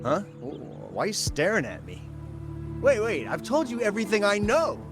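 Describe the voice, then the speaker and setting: A man's voice: medium pitch, wildly swooping pitch, normal speed, creaky, over music. An actor playing a jumpy, cowardly henchman in a video game, nervous and stammering. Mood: panicked. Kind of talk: video game cutscene acting.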